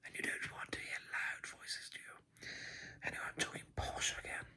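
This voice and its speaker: A man's voice: moderate pace, sharp tone. A man doing a whispered ASMR ramble, sardonic and sweary, enunciating carefully and poshly with a crackly whisper. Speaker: heavy metal musician making an ASMR video.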